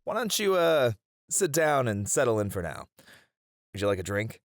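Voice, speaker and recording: A man's voice: medium pitch, sing-song, moderate pace, no room sound, clean studio sound. A man playing a flirty, cheeky hare-eared mafia boss in an ASMR roleplay, teasing and playful as he charms his rivals. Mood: playful, welcoming.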